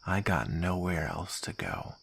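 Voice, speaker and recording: A man playing a hardened, resigned mercenary with a bitter, gravelly delivery in an ASMR roleplay drama. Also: deep voice, big pitch swings, fairly bright tone, a little hiss or hum, exaggerated voice. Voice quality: gravelly, husky. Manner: bitter, grim, deliberate. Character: hardened, resigned male mercenary, former comrade.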